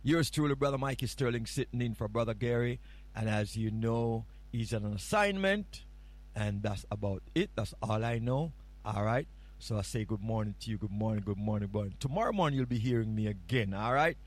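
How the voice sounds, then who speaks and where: A man's voice: lowish voice, brisk. A man with a Jamaican accent, filling in as a radio host and chatting casually on a morning show in a playful, rambling, teasing way.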